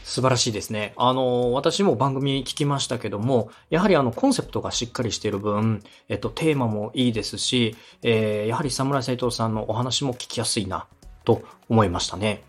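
A man's voice: mid-range voice, fairly quick, soft background music. A man hosting a podcast interview as a radio director, speaking politely and encouragingly. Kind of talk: structured podcast interview with feedback.

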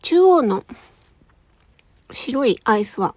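A woman with a high voice shares a casual ASMR food tasting. She talks very unhurriedly in short, murmured remarks, with pauses for mouthfuls and some near-whispered words. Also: very animated, a little mellow, no room sound. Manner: talks while eating ice cream. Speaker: audio streamer.

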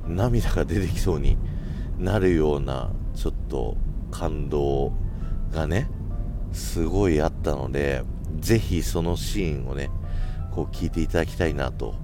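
A man hosting a solo radio-style podcast, talking casually and politely to his listeners in a chatty way. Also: very deep voice.

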